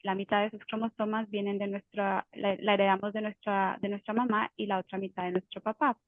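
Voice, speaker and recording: A woman explains the topic to a webinar audience in a patient, teacherly way, pausing on fillers and restarting phrases. Her voice sits at a middle pitch and comes through a tinny, phone-like video call line with a very dry sound. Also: flat delivery, dry recording. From Colombia. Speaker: genetic counselor. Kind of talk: informative webinar talk.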